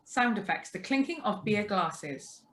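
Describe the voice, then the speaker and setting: Mid-pitched woman announcing script cues. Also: lively intonation, unhurried, a bit bright. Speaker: reader of stage directions and sound cues. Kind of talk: scripted cue reading, clipped announcements.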